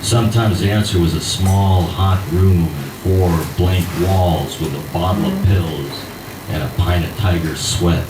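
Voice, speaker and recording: A man, an actor by trade, stands up to read fiction to a live audience in a cabaret bar. He talks slowly and a little hesitantly, then settles into a dry, wry reading. The sound is roomy and distant, with plenty of bar ambience. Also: low voice, noisy background. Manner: hesitant, self-deprecating chat before a measured, wry reading.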